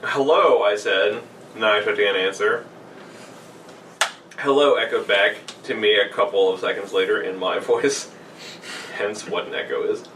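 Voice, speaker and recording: A man's voice: on the low side, moderate pace, long pauses, far from the mic. A man co-hosting a podcast, bantering casually with sarcastic asides and reading aloud. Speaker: podcast co-host. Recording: roomy echo, off-mic, faint noise.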